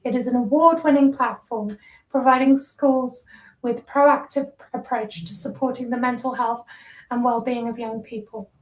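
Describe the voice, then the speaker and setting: A woman's voice: fairly high pitch, steady tone, normal speed. A woman host giving a formal, polished introduction of a speaker. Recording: echoey hall mic.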